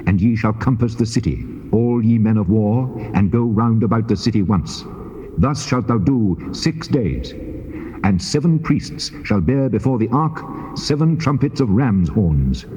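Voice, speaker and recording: An actor playing the Lord God in a dramatized scripture reading, solemn, deliberate and commanding. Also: male voice, lowish voice, animated, warm tone. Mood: grave command. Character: the Lord God, grave, commanding, ancient divine voice. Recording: long reverb, dull old cassette.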